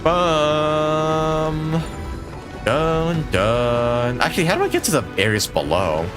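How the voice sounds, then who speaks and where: A man giving casual live commentary on a game, rambling and thinking out loud as he plays. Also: on the high side, animated, takes it slowly, music in the background. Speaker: game streamer.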